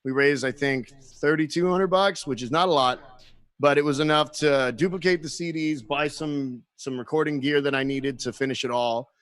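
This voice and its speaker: A man tells band stories in casual chat with a voice a bit higher than most men's. He acts out other people's lines and swears freely. The sound is close and dry, with no room in it. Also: normal speed, no room sound. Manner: animated storyteller, acts out quotes, swears freely. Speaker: guest musician.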